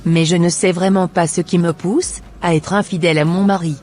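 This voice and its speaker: A remorseful, unfaithful churchgoing woman in a cartoon, who pleads softly for help, as a voice actor plays her. Light music runs beneath her lines. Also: medium pitch, fairly even intonation, a little fast, lightly acted. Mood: contrite. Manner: pleading, contrite.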